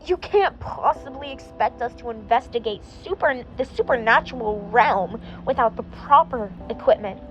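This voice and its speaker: A woman voicing a geeky, excitable teenage boy with a blunt, sarcastic way of talking. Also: on the high side, moderate pace, hardly any pauses, muffled recording, soft background music. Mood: smug.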